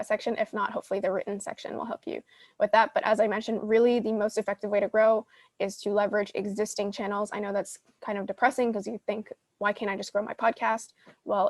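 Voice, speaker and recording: A woman's voice: medium pitch, dry recording. Casual woman giving advice, dry close sound. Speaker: podcast host teaching a live Q&A session. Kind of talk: casual advice, answering audience questions.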